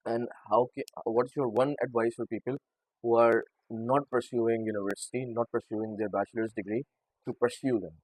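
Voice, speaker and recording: Polite young host asking questions. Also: male voice, medium pitch, steady tone, normal speed, rough recording. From Pakistan. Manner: polite and deferential, addresses guest as sir. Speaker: podcast host. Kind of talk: interview questions.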